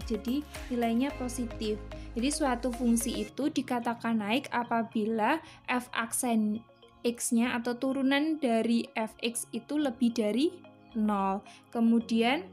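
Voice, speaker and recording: A woman's voice: a little high, a little mellow. A woman teaching a math lesson to students, explaining step by step. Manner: patient, explains step by step with frequent "ya".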